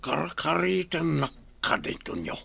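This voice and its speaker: A gruff male thug, extremely gravelly and piercingly sharp. He taunts in slow, rough speech as a crime boss's henchman in a radio drama. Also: a little high, big pitch swings, no echo, full character voice. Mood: taunting. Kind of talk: Ilocano radio drama acting.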